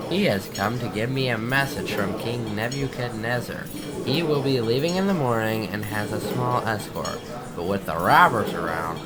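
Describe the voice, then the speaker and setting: An actor playing a stubborn, trusting governor in a Bible audio drama, speaking with authority. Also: male voice, lowish voice. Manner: authoritative, dismissive of warnings. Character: trusting, stubborn male governor giving orders.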